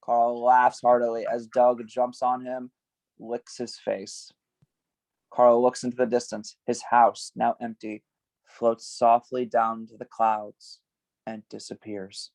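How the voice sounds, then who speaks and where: A man's voice: mid-range voice, flat delivery, takes it slowly, darkish tone, no room sound. A man reading stage directions at a script table read, giving scene headings some emphasis.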